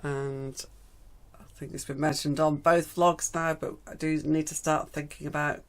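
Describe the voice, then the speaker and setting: Croaky woman, chatty vlogger. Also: sits low, level tone, a little slow. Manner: chatty, rambling, with frequent ums. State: croaky after a cold. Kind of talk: casual gardening vlog chat. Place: garden greenhouse.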